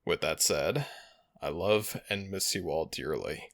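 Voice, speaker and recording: A man speaking earnestly in measured, formal wording as he addresses his followers as an audio roleplay host. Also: very deep voice, no room sound, clean studio sound. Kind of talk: addressing followers, channel update and shoutouts.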